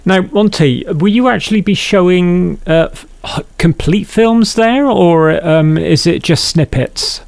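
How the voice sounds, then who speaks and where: Higher-voiced man hosting an interview. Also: measured pace, gravelly, hardly any pauses, darkish tone, dry recording. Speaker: show host, interviewer. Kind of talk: chatty radio-style interview.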